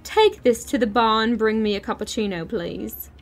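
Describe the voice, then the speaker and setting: A woman playing a sharp, discontented wife in an audio drama, snippy, sarcastic and imperious in manner. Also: fairly high pitch, moderate pace, faint music behind, lightly acted. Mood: curt.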